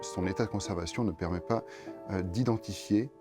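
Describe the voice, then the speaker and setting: A man representing a museum speaks hesitantly in a documentary interview, pausing often as he explains. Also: deep voice. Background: music.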